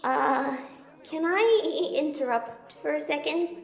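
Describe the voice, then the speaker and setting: A timid, socially awkward female monster in an audio drama. She stammers slowly in a high voice, hesitating often, with noticeable room echo. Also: gravelly, heavily acted. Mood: nervous, hesitant.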